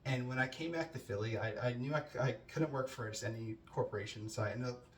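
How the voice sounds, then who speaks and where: A man's voice: mid-range voice, fast talker, a little hiss or hum. A man in his thirties from New Jersey giving a casual self-introduction to a class, talking conversationally with lots of fillers and restarts. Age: 30s. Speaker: student introducing himself. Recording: roomy, distant mic with faint ambience.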